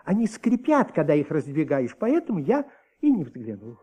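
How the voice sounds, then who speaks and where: High-voiced, fussy, sleepless husband. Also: male voice, wildly swooping pitch, normal speed, over loud music. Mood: hesitant, earnest. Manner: hesitant, repeating himself, fussy. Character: anxious, sleepless husband, talkative male witness. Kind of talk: Soviet radio drama acting.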